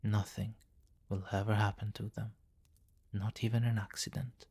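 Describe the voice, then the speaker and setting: A man playing a possessive, commanding vampire king in an intimate ASMR fantasy roleplay, speaking formally and deliberately, with courtly, doting authority. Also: fairly low pitch, flat delivery, creaky, darkish tone. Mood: exasperated, reassuring.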